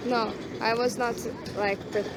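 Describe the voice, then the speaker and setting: A woman's voice: a little high, steady tone. Brazilian-accented young woman on a noisy street. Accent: Brazilian Portuguese. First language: Portuguese. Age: young adult. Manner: earnest, simple halting English. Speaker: Hare Krishna devotee. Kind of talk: casual street conversation. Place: busy city street outdoors. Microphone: hidden recorder. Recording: loud street noise, secret recording. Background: loud traffic.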